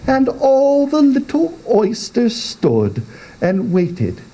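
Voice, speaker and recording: A man giving a dramatic recitation of comic verse in a theatrical, deliberate manner. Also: very high-pitched, huge pitch swings, warm tone. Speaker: poetry reader.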